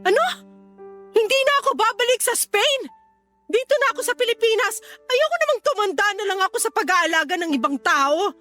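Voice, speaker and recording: An ailing, indignant Filipino mother in a radio drama. Her voice is extremely high, pleading and full of reproach. Soft music plays faintly underneath. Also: lively intonation, brisk, full character voice. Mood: hurt, pleading. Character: hurt, indignant ailing wife and mother, middle-aged. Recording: faint music bed behind voice.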